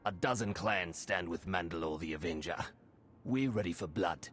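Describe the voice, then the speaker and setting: Deep rough merc guy hungry for a fight, music behind. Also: moderate pace, a bit bright. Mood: fierce. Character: brash male mercenary warrior, bloodthirsty. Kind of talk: video game cutscene.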